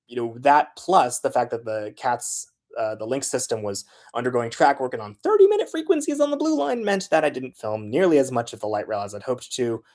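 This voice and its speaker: A man talks through his filmmaking process in a relaxed, conversational way. He often pauses on filler sounds while he works out his next point. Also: mid-range voice. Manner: loose and chatty, frequent filler sounds. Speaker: transit video creator. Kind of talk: casual how-to talk.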